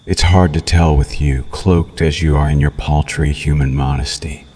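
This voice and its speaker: Man playing a very deep, sadistic vampire. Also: fairly even intonation, darkish tone. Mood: mocking, teasing. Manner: slow, theatrical, mocking, menacing purr with formal diction. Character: cruel, sadistic male vampire, taunting and seductive. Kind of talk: ASMR roleplay monologue.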